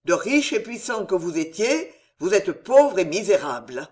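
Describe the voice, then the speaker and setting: A reader voicing a frenzied, desperate young nobleman who speaks loudly and vehemently in an old-fashioned storybook. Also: medium pitch, expressive, moderate pace, natural voice. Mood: frantic. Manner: loud, vehement, near shouting.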